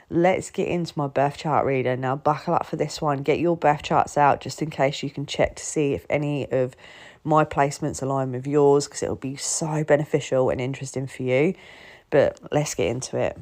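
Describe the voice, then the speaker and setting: A woman's voice: low-pitched, normal speed, darkish tone. A woman hosting a podcast, chatting enthusiastically and casually with plenty of filler words. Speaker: podcast host.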